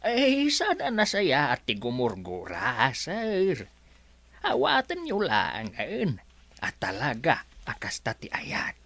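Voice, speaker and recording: A woman's voice: deep voice, wildly swooping pitch, slow pace, a bit bright, full character voice. A woman doing a sing-song consoling assistant. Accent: Ilocano.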